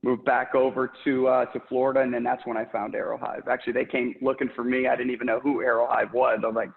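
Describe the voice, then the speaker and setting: Man from Puerto Rico, upbeat interview guest, flat gravelly voice, phone-like call audio with long reverb. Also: mid-range voice, fast. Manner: enthusiastic, upbeat, many fillers. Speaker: regional sales director, interview guest. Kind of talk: casual work interview. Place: home office, indoors. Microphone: video call. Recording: tinny echoey video-call audio.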